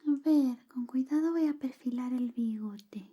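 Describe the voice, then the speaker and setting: A woman speaking softly and often whispering in an ASMR roleplay, playing an affectionate, playful best friend. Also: sits high, measured pace, husky. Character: affectionate, clumsy female best friend giving a shave. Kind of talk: ASMR personal attention roleplay.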